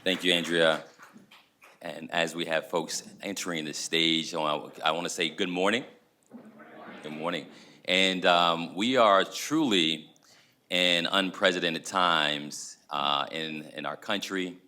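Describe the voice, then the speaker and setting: Very deep, forceful man moderating. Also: slow pace. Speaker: panel moderator. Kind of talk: moderating a panel discussion.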